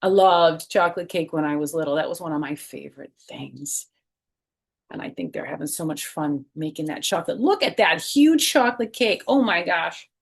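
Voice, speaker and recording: A middle-aged woman reading a picture book expressively to children, adding chatty asides, recorded at home. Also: medium pitch. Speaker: children's storytime reader. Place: small furnished room at home.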